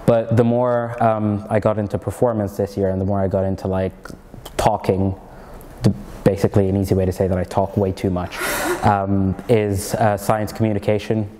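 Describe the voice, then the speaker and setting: A young Norwegian-born man with an American accent, his voice low and mellow. He chats casually, rambling with many fillers and restarts. The sound carries a long reverb. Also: flat delivery, moderate pace, slight background noise. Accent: American-sounding English learned in Egypt. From Norway. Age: young adult. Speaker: comedian and student guest. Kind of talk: casual interview chat.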